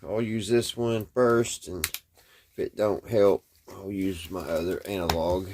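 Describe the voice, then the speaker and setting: A man with a fairly low voice presents a casual, hands-on hobby demonstration. He talks in a folksy, unpolished way, often halting as he thinks out loud while he works. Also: steady tone, slight background noise.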